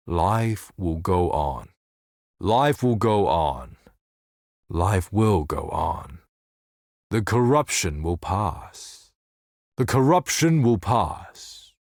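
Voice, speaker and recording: Brooding desert mystic for a fantasy game: deep, portentous, theatrical delivery, very dry close sound. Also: male voice, a little mellow, no echo, exaggerated voice. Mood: solemn, cryptic. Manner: portentous, measured, ornate speech. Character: cryptic male desert seer, brooding, solemn and faintly mocking. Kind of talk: theatrical video game character dialogue.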